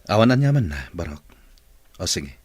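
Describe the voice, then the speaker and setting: A man playing a kindly, grateful master of a mansion in an audio drama, husky-voiced, courteous and quietly authoritative. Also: low-pitched, very animated, normal speed, no room sound, heavily acted.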